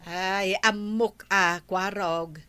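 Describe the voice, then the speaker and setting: A woman's voice: medium pitch, steady tone, drawn-out words, bright, forceful tone, clear, resonant tone. An actress playing a nagging, complaining village woman with an Ilocano accent in a comedy radio drama.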